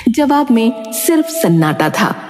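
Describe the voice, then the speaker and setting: A woman's voice: a little high, big pitch swings, measured pace, darkish tone. A woman giving scripted, dramatic narration of a cartoon story. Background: music.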